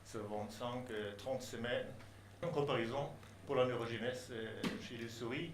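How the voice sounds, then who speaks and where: A man who is not a native French speaker presents a research project, pausing often and restarting his phrases. His voice sits at a middle pitch. The room gives it a roomy echo, with faint noise behind. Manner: halting, many pauses and restarts. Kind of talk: hesitant formal presentation in a second language.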